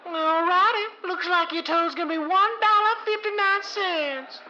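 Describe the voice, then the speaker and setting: Radio-play cashier, shrill high pitch, chirpy, dull recording. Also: male voice, moderate pace, sharp tone, a little hiss or hum, exaggerated voice. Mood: chirpy, businesslike. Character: chirpy high-voiced grocery store cashier. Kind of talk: radio play character acting.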